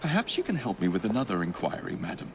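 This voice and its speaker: An actor playing a bold young Victorian London rogue with an English accent, wry, casual and confident. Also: male voice, fairly low pitch, very animated, fast talker, creaky, slight background noise. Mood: courteous, assured. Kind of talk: video game cutscene acting. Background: background music.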